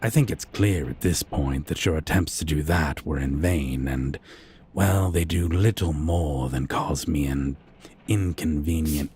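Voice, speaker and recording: A man's voice: very deep voice, measured pace, darkish tone, breathy edge, heavily acted. A man playing a haughty, sadistic vampire captor in an ASMR roleplay, with a drawling, condescending, menacing and archly formal manner. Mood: exasperated.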